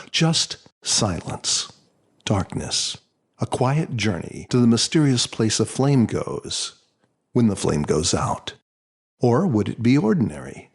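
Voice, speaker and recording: A man reading his own novel as a scripted audiobook, dramatic and solemn in a deliberate storytelling manner. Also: mid-range voice, slightly rough.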